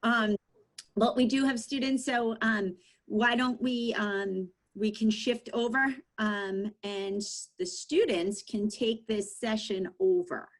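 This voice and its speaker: A woman's voice: mid-range voice, steady tone, takes it slowly. A woman moderating an online session, hesitant with many ums. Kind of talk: hosting a live chat.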